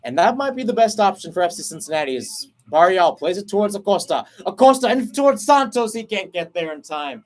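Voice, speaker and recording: A man doing radio play-by-play for a soccer match, talking steadily through the passing and breaking off to explain tactics, then jumping up in pitch when a chance opens. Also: big pitch swings. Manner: brisk flowing call, rising sharply on chances; self-correcting, explains tactics between plays. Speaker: play-by-play commentator.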